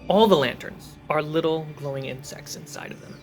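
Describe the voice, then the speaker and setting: A game master narrating a fantasy tabletop adventure in a measured way, pausing between short atmospheric phrases. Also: mid-range voice. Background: cinematic background music.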